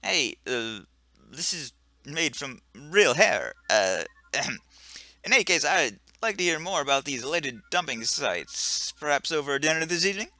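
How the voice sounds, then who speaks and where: A man's voice: on the high side, sing-song, a little slow, sharp tone, no echo, exaggerated voice. A man doing a smarmy, boastful space captain. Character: smarmy flirtatious male starship captain.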